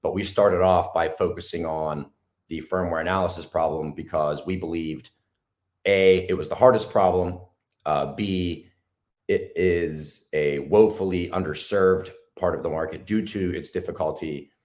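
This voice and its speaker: Low-voiced hoarse male guest, halting and casual. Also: fairly even intonation, darkish tone. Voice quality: raspy. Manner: halting, lots of ums and restarts. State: hoarse from days of talking. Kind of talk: casual interview talk.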